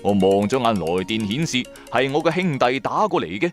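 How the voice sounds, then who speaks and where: A man with a Cantonese accent narrating a supernatural tale in the first person, dramatic, colloquial and expressive. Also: mid-range voice, fairly quick, faint music behind. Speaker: storyteller narrator.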